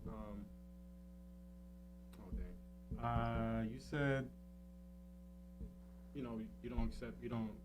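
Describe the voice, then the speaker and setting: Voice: medium pitch, fairly even intonation, takes it slowly, pauses often, over music, rough recording. A radio talk show host in a casual interview, giving short agreeing responses and simple follow-up questions. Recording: loud background noise.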